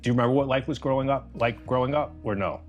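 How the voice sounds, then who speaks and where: A man hosting an interview, introducing his guest deliberately and asking friendly questions. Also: medium pitch, dull audio, faint music behind. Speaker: interview host.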